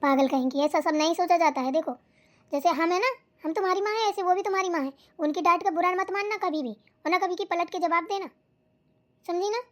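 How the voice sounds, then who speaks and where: Very high-voiced advising cartoon mother played by a woman. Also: fairly quick. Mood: gently admonishing. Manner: soothing, gently admonishing. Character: bride's caring, advising older mother in family cartoon. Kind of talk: Hindi cartoon voice acting.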